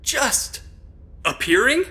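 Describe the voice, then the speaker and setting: Audio drama: male actor as sarcastic everyman, wary and quippy, bright, tense-edged voice. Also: very high voice, very animated, slow, full character voice. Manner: wisecracking, dry. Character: sarcastic, suspicious everyman reluctant dinner guest.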